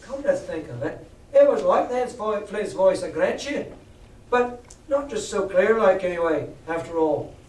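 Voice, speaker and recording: A man voicing a superstitious, excitable pirate crewman who talks loud in sailor's slang, in an echoey room. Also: high voice, a little slow. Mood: relieved. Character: superstitious rough pirate crewman, easily swayed. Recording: echoey room, far from mic.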